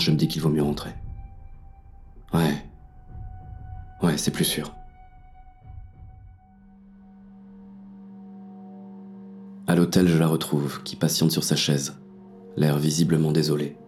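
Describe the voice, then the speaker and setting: An actor playing a paranoid, brooding police inspector on the run, narrating a noir audio drama in coarse first person. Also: male voice, low-pitched, darkish tone, natural voice. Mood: wary, brooding, then weary, subdued. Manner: gritty, coarse, brooding inner monologue.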